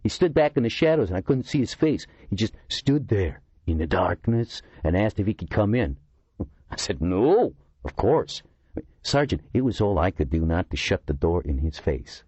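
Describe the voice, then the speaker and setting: A man's voice: fairly low pitch, very animated, moderate pace, a little mellow, slightly rough, dry recording, pitched above the performer's own voice. A man voicing an eccentric, talkative middle-aged man who complains indignantly. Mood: uneasy.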